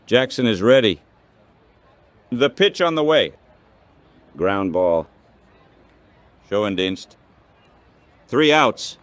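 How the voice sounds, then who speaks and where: Slow-paced synthetic male baseball announcer. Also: lowish voice, animated, no room sound. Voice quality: synthetic text-to-speech voice. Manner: short clipped phrases. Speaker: baseball play-by-play commentator. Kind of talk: radio-style baseball commentary.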